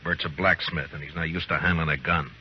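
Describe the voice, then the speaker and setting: An actor playing a stern, steady frontier marshal in an old-time radio western, firm and laconic. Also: male voice, extremely low pitch, a little fast. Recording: muffled, noisy vintage radio recording.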